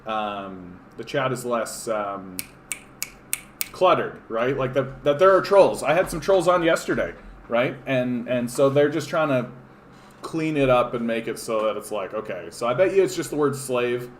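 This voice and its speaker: A man's voice: medium pitch, lively intonation, unhurried, reverberant, slight background noise. A man from Canada hosting a casual political livestream, rambling with lots of fillers and restarts, sarcastic as he reads chat comments. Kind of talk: casual live-stream chat reading comments. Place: home room.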